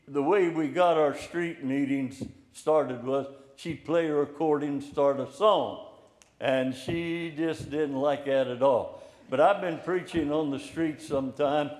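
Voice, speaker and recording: An older man preaching an informal, encouraging sermon in a slow, plainspoken way. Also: on the high side. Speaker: pastor. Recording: echoey room, hall reverb.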